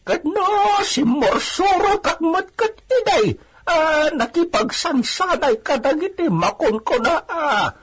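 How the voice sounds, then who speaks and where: A man playing a boastful, excitable old village man spinning war tales in an Ilocano comedy radio drama. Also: very high-pitched, takes it slowly, heavily acted. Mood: boastful, excited.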